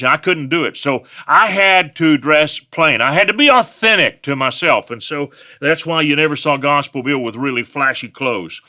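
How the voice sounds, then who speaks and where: Male pastor, West Texas accent, folksy storyteller, forceful voice pitched a bit high. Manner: folksy storytelling with personal anecdotes. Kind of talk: sermon.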